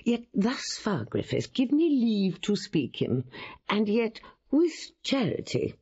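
An actress playing an ailing, weary noble queen in a Shakespearean audio drama, with a creaky, breathy voice. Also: mid-range voice, very animated, darkish tone, muffled recording, exaggerated voice. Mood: grave, weary. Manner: slow, pained, dignified.

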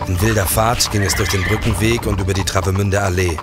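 A man's voice: deep voice, fairly even intonation, fast talker, over loud music, lightly acted. A man playing a determined policeman who recounts and acts out his adventures in a period crime radio play. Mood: measured narration. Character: determined male policeman narrating his own old-time adventures. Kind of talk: scripted narration and dialogue, period audio drama.

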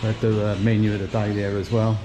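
A man giving a relaxed, chatty walking tour of an outdoor shopping plaza, talking while he walks with his camera. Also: lowish voice, a little fast. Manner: relaxed, chatty, pointing things out. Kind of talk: casual walking tour narration. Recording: noisy outdoor street recording.

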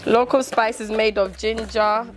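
A woman's voice: fairly high pitch, steady tone, a little slow. Chatty young woman vlogging in a kitchen yard. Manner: chatty, encouraging, laughs often. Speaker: vlogger host. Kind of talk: casual vlog chat and interviewing. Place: outdoor chop bar kitchen yard. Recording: music playing loudly behind.

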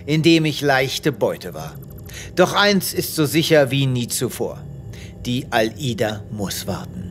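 An actor playing an earnest, self-important space veterinarian with a theatrical manner in an audio drama. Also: male voice, medium pitch, a bit bright. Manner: theatrical, pompous.

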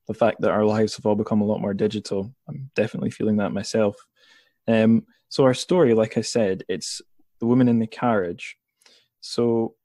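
A man's voice: on the low side, a little mellow, no room sound. A man hosting a conversational podcast interview, thoughtfully rephrasing his questions as he goes.

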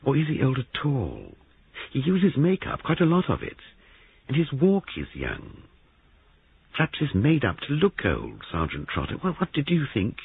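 Young wife, voiced by a male reader, storybook heroine, earnest, anxious and questioning, high lilting pitch. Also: wildly swooping pitch, moderate pace, vocal fry, husky, narrow, tinny audio, full character voice. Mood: anxious curiosity.

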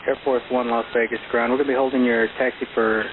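A man works as a ground controller, rattling off clipped instructions at a brisk pace. His voice comes through a narrow, noisy aviation radio channel with clicks. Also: medium pitch, flat delivery. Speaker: air traffic ground controller.

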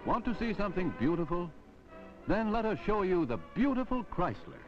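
Lively American man, vintage ad announcer. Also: moderate pace. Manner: polished sales pitch. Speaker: commercial announcer. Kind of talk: 1950s car advertisement voice-over. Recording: dull vintage film soundtrack, music behind.

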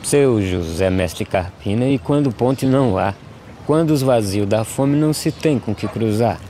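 A weary, despairing Brazilian migrant man in an animated verse drama. His voice is fairly low and he speaks in solemn, measured verse. Clear outdoor noise runs behind him. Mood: pleading, questioning. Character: weary, despairing male drought migrant, gaunt, questioning.

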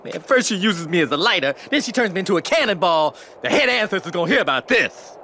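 A sassy tiny dragon from a video game, grumbly slangy fast talker, voice bounces around, bit of background noise. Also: male voice, high voice, big pitch swings, sharp tone, heavily acted. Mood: exasperated. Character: small sassy male dragon, dramatic, complaining sidekick. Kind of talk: animated video game acting.

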